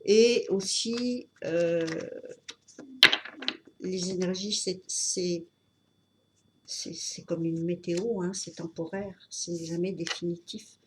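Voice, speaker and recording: A woman with a cold giving an unscripted tarot reading, talking in a halting way with many fillers and restarts. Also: on the low side, steady tone, heavy background noise. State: has a cold. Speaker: tarot reader.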